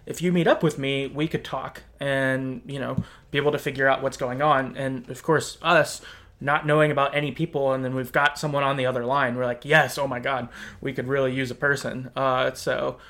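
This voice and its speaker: Man casually recounting, medium pitch. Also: flat delivery, normal speed, fairly bright tone. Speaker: podcast co-host. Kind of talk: casual recounting of a game's story.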